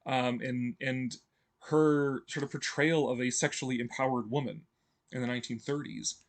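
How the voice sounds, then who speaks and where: A man's voice: medium pitch, fairly even intonation, moderate pace. A man talking casually as a video host, rambling with many fillers and restarts and chuckling often. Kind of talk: casual enthusiastic commentary.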